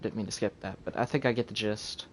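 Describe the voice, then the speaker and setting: A man's voice: lowish voice, steady tone, fast talker, gravelly. A man streaming a game with casual, offhand commentary, often muttering to himself as he thinks things through. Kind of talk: casual live gameplay commentary. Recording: noisy live stream with game audio.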